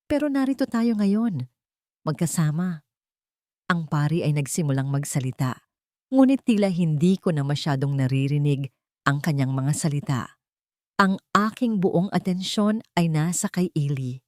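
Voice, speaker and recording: A woman's voice: low voice, lively intonation, moderate pace, a little mellow, no room sound, studio quality. A woman reading a scripted first-person romance story as a dramatic narrator.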